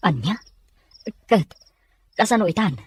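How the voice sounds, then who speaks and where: A man playing a blunt, scolding young buddy in an audio drama, reproachful and questioning, with a slightly rough voice. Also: sits high, big pitch swings, a little slow, full character voice. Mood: surprised. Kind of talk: Ilocano audio drama acting.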